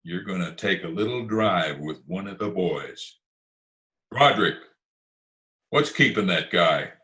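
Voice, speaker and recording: A man's voice: low-pitched, lively intonation, measured pace, pushed and bright, exaggerated voice. A man playing a tough, curt gangster boss in a 1940s-style radio crime comedy, sneering, slangy and commanding. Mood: impatient, bossy. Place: remote video-call performance.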